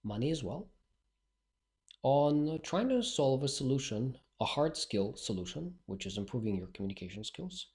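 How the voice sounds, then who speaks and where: A man's voice: medium pitch, very animated, normal speed, a little mellow. A male speaking coach, not a native English speaker, talking bluntly and directly in a solo podcast monologue.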